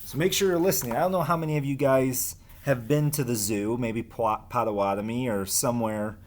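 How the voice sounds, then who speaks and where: A man's voice: mid-range voice, a little fast, darkish tone. A man speaking as a teacher in a casual read-aloud lesson for children, informal and explanatory. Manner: informal, explains and asks listeners questions. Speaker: teacher reading aloud to pupils.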